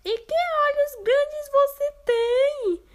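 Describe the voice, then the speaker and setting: A woman voicing a sweet little girl in a children's storybook reading, squeaky and innocent. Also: slow. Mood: wondering.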